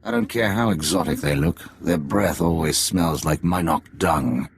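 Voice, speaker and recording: A man playing a jaded middle-aged undercover spy in a video game, with a gravelly, husky voice and a sardonic, deliberate manner. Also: a bass voice, a little hiss or hum. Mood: bitter, disgusted. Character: jaded male Imperial spy posing as alien tea house owner, middle-aged father. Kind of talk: cinematic video game dialogue.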